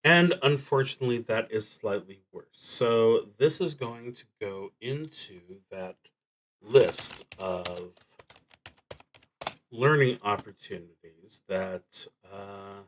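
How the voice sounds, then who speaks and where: A man streaming a solo tabletop roleplaying game, thinking aloud in a halting, deliberate way that trails off between thoughts. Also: fairly low pitch, lively intonation, a bit bright, a little hiss or hum.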